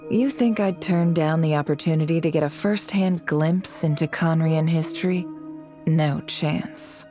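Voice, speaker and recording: A woman playing a shrewd, composed businesswoman in a video game, speaking in a measured, authoritative way. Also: low voice, mellow, soft background music. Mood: cool, confident. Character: shrewd, composed businesswoman leading a secret ritual. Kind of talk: video game character dialogue.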